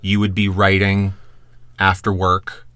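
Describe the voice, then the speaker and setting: Male podcast co-host, lower voice, animated storyteller who swears freely, dry studio sound. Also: slow pace, no echo. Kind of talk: casual storytelling chat.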